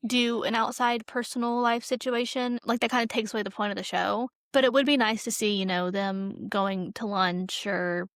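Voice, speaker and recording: Female co-host, fairly high voice, informal conversational delivery, dry recording. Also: normal speed, hardly any pauses, a bit bright, no room sound. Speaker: podcast co-host. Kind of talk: casual chat about a TV show.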